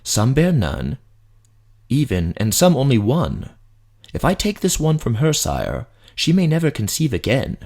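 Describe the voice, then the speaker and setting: Man as a timid, high-pitched elven healer. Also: huge pitch swings, measured pace, a little mellow, heavily acted. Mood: fearful, pleading. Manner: sycophantic, pleading. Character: timid middle-aged high elf healer, servile, trembling. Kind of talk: fantasy audiobook drama.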